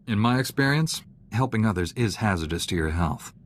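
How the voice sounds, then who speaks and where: An actor playing an earnest, straight-laced warrior-monk hero in a science fiction video game, speaking in a measured, serious, dry manner. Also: male voice, fairly low pitch, expressive. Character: earnest, principled, straight-laced male Jedi knight hero. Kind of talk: video game voice acting, character dialogue.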